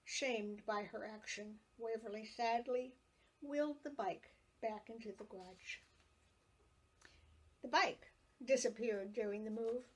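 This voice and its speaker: A woman reads her own childhood memoir aloud at a slow, careful pace. Her voice sits a little high, and she lets each scene unfold with expressive storytelling. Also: breathy edge, low-quality recording. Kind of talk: reading a family memoir aloud.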